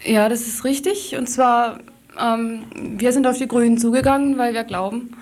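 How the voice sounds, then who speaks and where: Woman, fairly high pitch, activist interview guest, unscripted explanations, hesitant phrasing. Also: fairly even intonation, normal speed, a little mellow.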